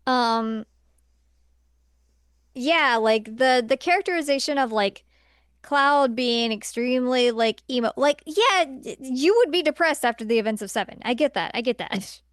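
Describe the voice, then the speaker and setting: Female podcast co-host, smooth clear voice, dry close sound, blunt and exasperated casual opinions. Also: sits high, measured pace, dry recording. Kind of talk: casual opinionated film discussion.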